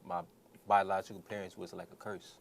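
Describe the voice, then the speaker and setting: This is a man from New York City with a fairly low voice. He talks as a guest in an interview, giving earnest answers in a conversational way. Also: little pitch movement, fairly quick, gravelly. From New York City, born in the Bronx, raised in Queens. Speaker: interview guest, nonprofit founder.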